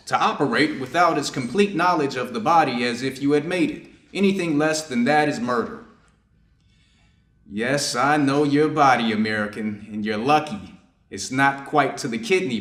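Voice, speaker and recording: The room rings around a mid-pitched male voice. It is a man acting a stern, conflicted Japanese doctor in a readers' theater drama, giving orders over a wounded enemy. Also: a bit bright, close to an everyday voice. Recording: echoey room.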